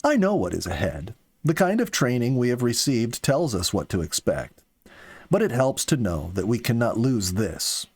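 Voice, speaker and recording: A man reading the part of an earnest, philosophical young soldier in an audiobook, with slow, deliberate phrasing. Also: mid-range voice, big pitch swings, darkish tone, breathy edge. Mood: earnest, hopeful. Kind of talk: audiobook character dialogue.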